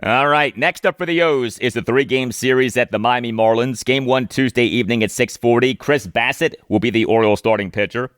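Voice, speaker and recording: A man's voice: mid-range voice, brisk, hardly any pauses, fairly bright tone, smooth, no echo, studio quality. A man presenting a sports show in a scripted, announcing style as the host.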